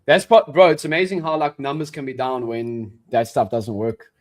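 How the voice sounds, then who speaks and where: A man with a South African accent, chatting casually as co-host of a live trading stream, relaxed and saying bro a lot. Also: medium pitch, normal speed. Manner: relaxed, says bro and yeah often.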